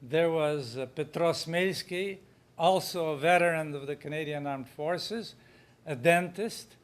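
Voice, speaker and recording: A man giving a talk at a conference, speaking loudly and projecting to the hall. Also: on the high side. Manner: unhurried, loud and projecting.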